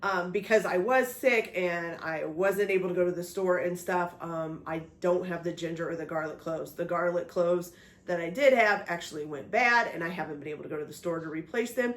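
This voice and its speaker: A woman's voice: fairly low pitch, a little fast. Woman, getting over a cold, chatty cooking host, step-by-step explaining, echoey kitchen. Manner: chatty, talks through each step, frequent "okay?" check-ins. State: recovering from a cold, voice a bit off. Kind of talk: casual cooking demonstration. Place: home kitchen.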